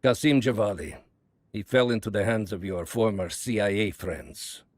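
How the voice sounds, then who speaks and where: An actor playing a calm, calculating Soviet spymaster, speaking in a measured, ominous and grandiose way in a video game cutscene. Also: male voice, fairly low pitch, dry recording, clean studio sound, natural voice. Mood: cold, resolute.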